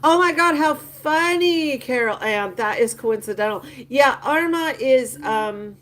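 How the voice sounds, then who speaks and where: A woman's voice: high voice, expressive, unhurried, fairly bright tone. Older woman chatting casually on a livestream. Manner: rambling, personal storytelling with many fillers. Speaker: livestream host. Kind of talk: casual livestream chat answering viewer comments.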